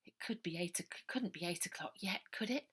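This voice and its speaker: A woman author reading her own children's adventure novel aloud, lively, dropping to a whisper for suspense. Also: fairly low pitch, brisk, husky. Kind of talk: storybook narration for children.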